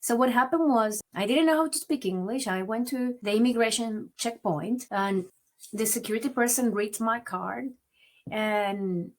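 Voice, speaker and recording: A woman from Venezuela with a Venezuelan Spanish accent, a native Spanish speaker, telling her personal story as an interview guest in a reflective way. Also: mid-range voice. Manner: reflective, many "you know" fillers.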